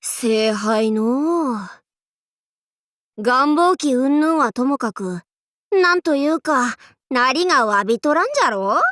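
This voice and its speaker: Proud anime game warlord, high voice, pompous archaic lordly speech, playful swagger, dry close sound. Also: female voice, animated, slow, fairly bright tone, no echo, heavily acted. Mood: dismissive. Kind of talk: anime game character voice lines.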